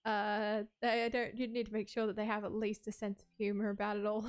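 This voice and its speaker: A woman's voice: medium pitch, completely flat delivery, a little fast, clear, resonant tone. A woman chatting casually on a livestream, rambling with lots of "like" and "um" and laughing often as she reads out viewer messages.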